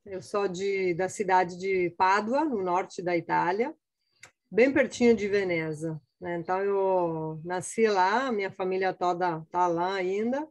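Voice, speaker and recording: A woman's voice: on the low side, unhurried, a little mellow. A woman from Italy in her forties speaking with an Italian accent, chatting casually as a guest scientist with many fillers and restarts. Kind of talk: casual interview answers.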